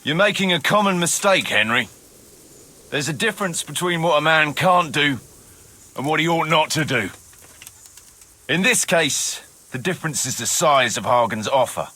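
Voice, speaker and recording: An actor playing a cynical, roguish mercenary captain in a video game, sardonic and swaggering, with a rough voice. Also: male voice, a little high, expressive, normal speed, pushed and bright. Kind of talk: dramatic video game dialogue.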